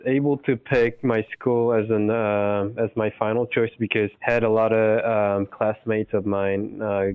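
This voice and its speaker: A young man from South Korea, a dentist speaking politely and carefully as a podcast guest, pausing to find words. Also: fairly low pitch, steady tone, clear, resonant tone, no room sound, phone-quality sound. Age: young adult. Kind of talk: casual podcast interview.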